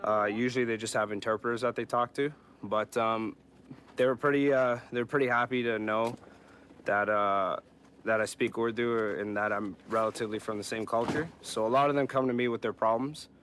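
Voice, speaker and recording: A man from Pakistan, an army corporal, speaking casually with many pauses and fillers in an interview. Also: medium pitch, steady tone, fairly bright tone, a little hiss or hum.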